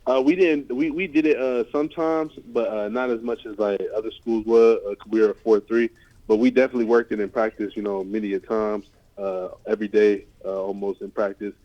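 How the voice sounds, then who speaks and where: A man's voice: on the low side, steady tone, brisk, dark tone. A man in his twenties from the United States, an athlete giving informal interview answers full of "you know" and "like" on a conference call. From United States (California and Ohio). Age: 20s. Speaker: American football player, linebacker. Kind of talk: casual press interview answers. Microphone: remote conference call. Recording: dull, lo-fi conference call audio.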